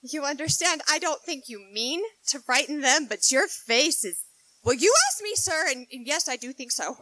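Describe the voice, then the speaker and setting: A frank, spirited young woman, voiced by a woman, chatters eagerly in a 1940s-style radio play. Her voice is high, and the sound is close and dry. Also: animated, normal speed, bright, forceful tone, dry recording, poor audio. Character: spirited outspoken young woman, tomboyish writer. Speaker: radio play actor and narrator.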